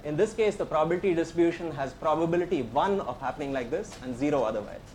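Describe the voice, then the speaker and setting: Man, Indian accent, fairly high voice, conversational lecturer, faint background hiss. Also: animated, quick pace, a little mellow, low-quality recording. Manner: conversational, explains step by step and invites questions. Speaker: professor giving a tutorial. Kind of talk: technical lecture.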